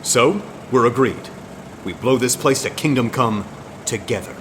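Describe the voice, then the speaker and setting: A man's voice: mid-range voice, unhurried, lightly acted. A man playing a loyal, wisecracking agent in an audio drama, breezy but sincere. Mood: sincere resolve. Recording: vehicle-like noise behind the voice.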